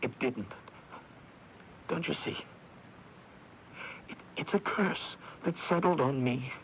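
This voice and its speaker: An actor playing a tormented, guilt-ridden son with a fierce temper, halting and repeating himself in a radio drama. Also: male voice, medium pitch, fairly bright tone. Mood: tormented, despairing. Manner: agitated, repetitive, halting, accusatory. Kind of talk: 1980s radio drama acting. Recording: noisy old radio broadcast recording.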